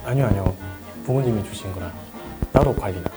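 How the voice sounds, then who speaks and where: A man's voice: fairly low pitch, quick pace, loud music behind the voice. A man in his late twenties, a guest who sounds nervous but playful. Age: 20s.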